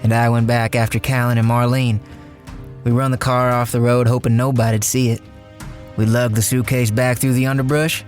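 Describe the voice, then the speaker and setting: An actor playing a cocky, bossy small-time crook with a folksy, sardonic, slangy way of talking in a radio crime drama. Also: male voice, mid-range voice, level tone, moderate pace, soft background music, lightly acted. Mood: wry, uneasy recounting. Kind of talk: radio crime drama acting, first-person narration.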